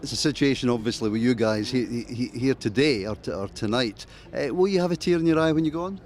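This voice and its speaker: A man from Scotland with a Glasgow accent, asking interview questions in a friendly, halting way. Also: medium pitch, darkish tone. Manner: friendly, halting, with many restarts. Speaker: music interviewer. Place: outdoors at a music festival. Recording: faint outdoor festival noise.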